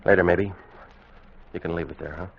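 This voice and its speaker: A man's voice: sits low, fairly even intonation, gravelly, slight background noise. A man playing a weakened, fearful American sailor, giving a grave, haunted first-person account in a radio drama. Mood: weary, uneasy. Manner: grave, haunted, measured storytelling. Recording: muffled vintage radio recording, music behind. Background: dramatic music.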